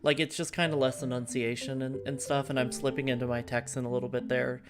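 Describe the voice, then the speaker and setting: A woman's voice: very deep voice, flat delivery, fast. A woman streamer hosting a casual chat while gaming, frank and rambling, with casual swearing. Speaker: streamer and host. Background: game music.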